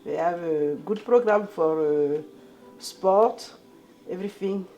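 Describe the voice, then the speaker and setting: A middle-aged woman from Ivory Coast with an Ivorian French accent, telling her own story in halting, limited English as a native French speaker. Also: lowish voice, mellow, muffled recording, faint music behind. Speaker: documentary interviewee, newcomer immigrant. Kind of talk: personal account in an interview.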